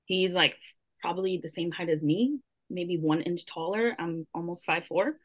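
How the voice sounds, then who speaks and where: A woman's voice: fairly low pitch, moderate pace, rough recording. Chatty woman telling a story. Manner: animated storyteller, many "like" fillers. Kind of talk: casual discussion.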